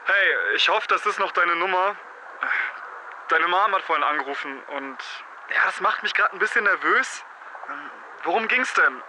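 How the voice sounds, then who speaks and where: A man's voice: sits high, animated, moderate pace, background noise. A young man playing an uneasy, reproachful teenage boy leaving a hesitant, slangy voice message in an audio drama. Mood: nervous.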